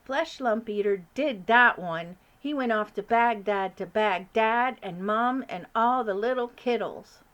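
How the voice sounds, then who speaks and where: A man's voice: high-pitched, unhurried, few pauses, bright, forceful tone, muffled recording. A woman doing a big kindly muddle-talking giant. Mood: eager. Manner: folksy, ungrammatical speech.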